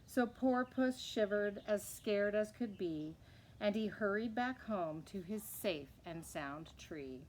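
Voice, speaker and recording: Woman, storytelling, expressive picture-book reading, slow rhythmic verse, faint background noise. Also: medium pitch, low-quality recording. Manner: measured rhythmic delivery of rhyming verse. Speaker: read-aloud storyteller.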